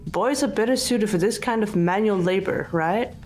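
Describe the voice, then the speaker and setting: A woman's voice: mid-range voice, moderate pace, darkish tone, soft background music, lightly acted. A woman voicing a composed, blunt teenage girl detective in an amateur visual novel dub, speaking in a controlled, matter-of-fact way. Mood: analytical.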